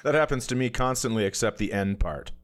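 A man's voice: medium pitch, expressive, fairly quick. A man co-hosting a comedy podcast in casual, teasing banter, joking and swearing freely.

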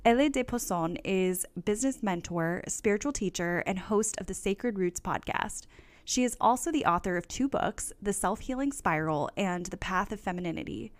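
Enthusiastic woman podcast host, mid pitch, dry close mic. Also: expressive, normal speed, no room sound.

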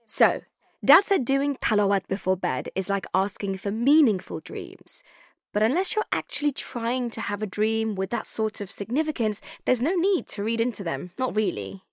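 A woman's voice: medium pitch, big pitch swings, moderate pace, darkish tone, no echo, studio quality. A woman hosting a podcast, giving candid and wry scripted documentary narration.

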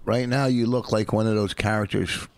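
Low-voiced guy riffing on his podcast, brash, teasing, dry sound. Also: steady tone, moderate pace, clear, resonant tone, no room sound. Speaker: podcast co-host, comedian. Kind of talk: casual banter podcast.

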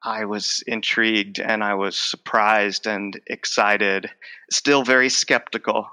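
A man's voice: on the low side, steady tone, slow, sharp tone, smooth. A man in his forties, a speech professor who stutters, repeating words and restarting as he answers interview questions. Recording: long hall reverb.

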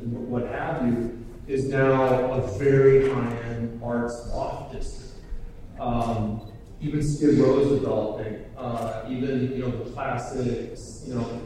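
A man's voice: medium pitch, warm tone, a little hiss or hum. A man answering at a press conference, reflective and hesitant, with frequent fillers. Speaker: film director at panel. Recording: echoey hall from far off.

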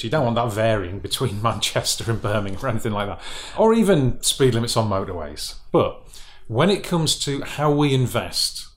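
A man with a Northeastern English accent, a regional mayor making his case in a panel discussion. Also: lowish voice.

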